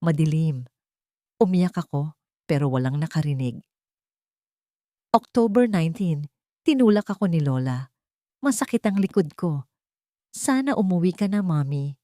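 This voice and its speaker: A woman's voice: sits low, animated, unhurried, pauses often, warm tone, no echo, clean studio sound. A woman voicing a frightened, lonely young child's journal in a dramatic story, plaintive and simply worded. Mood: sad, plaintive.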